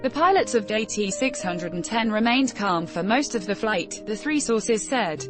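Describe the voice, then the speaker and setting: Female news narrator for scripted article read, medium pitch, background music. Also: animated, moderate pace.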